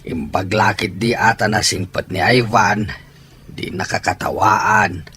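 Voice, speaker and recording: A man playing a frank, steady older uncle with a Filipino accent, giving counsel in an Ilocano radio drama. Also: fairly low pitch, measured pace, slight background noise. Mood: frank, reproving.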